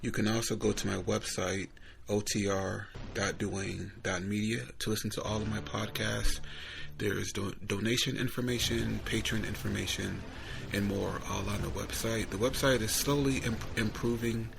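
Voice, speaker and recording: A man's voice: lowish voice, fairly even intonation, moderate pace, vocal fry, background noise. A man hosting a podcast in a casual, plain-spoken sign-off style. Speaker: podcast host. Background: music.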